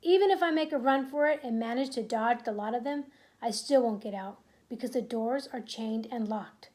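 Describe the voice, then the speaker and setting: A terrified little boy pleads and cries for help in a high, panicked voice, as a woman reads him aloud. It is a storybook read-aloud, and it stays close to the reader's own voice. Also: moderate pace, a little mellow, lightly acted. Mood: frightened. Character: terrified small boy, first-person hero.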